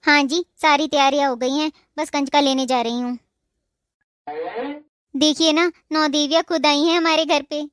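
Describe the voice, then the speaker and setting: Woman voicing a devoted cartoon wife, very high pitch, gentle, patient, respectful, dry sound. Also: fairly even intonation, moderate pace, no echo, natural voice. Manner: soft-hearted, reassuring, respectful. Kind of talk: cartoon moral story acting.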